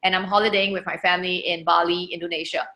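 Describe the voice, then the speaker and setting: A woman's voice: on the low side, flat delivery, quick pace, sharp tone, echoey room. A woman from Singapore, hosting an online webinar in an encouraging manner with frequent "you know" fillers, speaking over a video call. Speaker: webinar host. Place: holiday lodging, echoey room.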